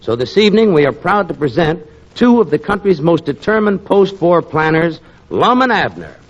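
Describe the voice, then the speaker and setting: A man's voice: fairly high pitch, sing-song, moderate pace, slight background noise. An American man as a 1940s radio announcer, delivering a scripted introduction with polished, upbeat showmanship. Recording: dull 1940s radio recording.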